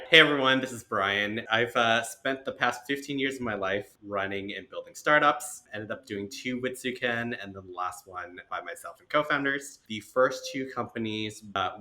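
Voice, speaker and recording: A man, a podcast guest, talking casually with frequent "right?" and "like". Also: lowish voice. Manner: relaxed, uses "right?" and "like". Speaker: podcast guest, venture partner. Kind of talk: casual conversation.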